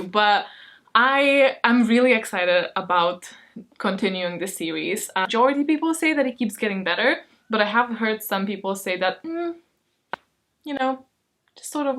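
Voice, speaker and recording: Casting: woman, informal book vlogger, mid-pitched voice, rambling and giggly, emphatic and expressive delivery. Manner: rambling, self-deprecating, giggly. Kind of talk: casual chatty vlog to camera.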